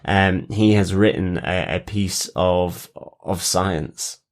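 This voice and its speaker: A man co-hosting a podcast, talking casually through a TV episode in halting phrases that often break off and resume. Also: sits low, steady tone. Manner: halting, hesitant phrasing with broken-off sentences. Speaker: podcast host. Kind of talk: casual TV recap discussion.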